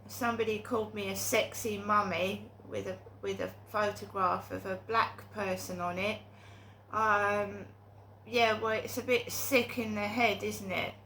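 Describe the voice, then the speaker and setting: A woman's voice: mid-range voice, fairly even intonation, a little slow, pushed and bright, slight background noise. A middle-aged woman with a cold and sore throat, talking in a rambling, hesitant monologue full of ums and restarts. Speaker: vlogger.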